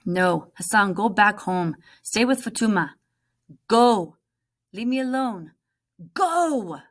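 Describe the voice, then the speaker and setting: A woman's voice: medium pitch, lively intonation, takes it slowly. A woman voicing a bitter, frustrated refugee boy from a storybook, with an insistent, impatient manner. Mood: impatient, upset.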